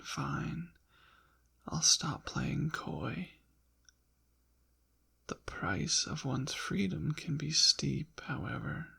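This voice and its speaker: Man playing a slow, breathy, gravelly demon. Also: a little high, pushed and bright, full character voice. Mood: coy teasing. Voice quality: breathy, often whispered, gravelly. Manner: coy, teasing, haughty, formal old-fashioned wording. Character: suave, possessive ancient male demon trapped in a necklace. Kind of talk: intimate ASMR roleplay acting.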